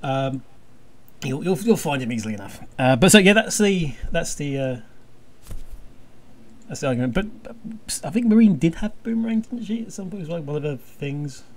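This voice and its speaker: A man with a slightly high voice hosts a casual chat. He rambles and hesitates as he goes, dropping dry jokes along the way. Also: lively intonation, moderate pace. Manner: hesitant, rambling, dryly jokey. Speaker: podcast host.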